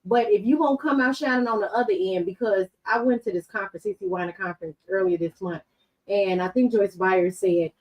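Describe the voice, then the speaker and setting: A woman's voice: mid-range voice, brisk, distant mic, dull audio. A woman panelist giving faith-based personal testimony in emphatic, repetitive phrases.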